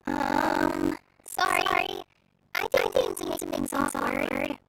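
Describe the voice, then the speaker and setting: A woman's voice: very deep voice, huge pitch swings, slow pace, vocal fry, exaggerated voice, low-quality recording. A woman voicing a timid, considerate young girl in an anime-style game, hesitant and apologetic. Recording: music behind the voice.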